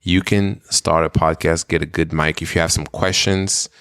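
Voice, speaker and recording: A man who was raised in Israel, co-hosting a podcast in relaxed, rambling, casual chat with some swearing. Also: rumbling low, normal speed, clean tone, no room sound, studio quality. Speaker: podcast co-host.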